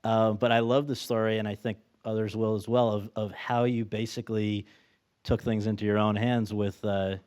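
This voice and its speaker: A man's voice: fairly low pitch, level tone, normal speed, a little mellow, clean tone. A man interviewing a guest, asking questions in an upbeat, encouraging way.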